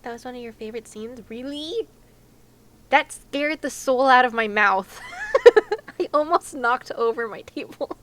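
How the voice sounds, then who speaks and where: Young woman, bright highish voice, slow casual livestream chat, expressive game reactions, faint music behind. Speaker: streamer.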